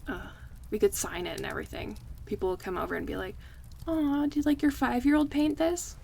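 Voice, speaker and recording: A woman playing an affectionate, playful close friend in a casual, relaxed ASMR roleplay, chatting and teasing in a conversational way. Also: on the high side, fairly quick, gravelly, slightly rough.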